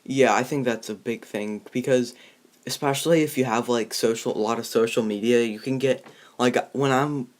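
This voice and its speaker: A teenage boy in a casual movie discussion, talking in a halting, rambling way. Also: mid-range voice, fairly even intonation. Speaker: movie review host. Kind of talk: casual chat.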